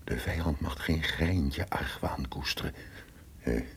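Very deep, gravelly scheming conspirator. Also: male voice, takes it slowly, a little hiss or hum, exaggerated voice. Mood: suspicious. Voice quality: gravelly, husky. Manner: formal, theatrical, breaking into tense muttering. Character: scheming, suspicious male conspirator feigning loyal friendship. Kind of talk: Dutch gothic radio drama acting.

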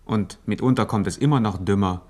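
Ein Schauspieler spielt im Hörspiel einen selbstgefälligen Gangster, der bedeutungsschwer aus seinen Memoiren vorträgt. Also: male voice, on the low side, brisk, darkish tone, lightly acted. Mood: pompous, philosophical. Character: pompous male gangster reciting his prison memoirs. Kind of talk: radio play memoir recital. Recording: faint music under the voice.

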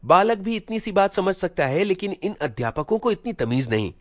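A man's voice: sits high, big pitch swings, normal speed, no room sound, pitched above the performer's own voice. A man voicing a preachy, self-important schoolboy older brother, lecturing pompously with rhetorical questions in a storybook monologue. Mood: exasperated.

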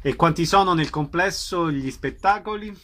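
A man's voice: on the high side, moderate pace, a bit bright. Male radio host with audible background noise. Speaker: radio host interviewing guests. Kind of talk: radio arts programme interview.

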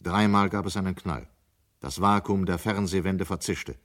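A man's voice: low-pitched, moderate pace, fairly bright tone. A man narrating a literary story as scripted narration in a 1970s German radio drama.

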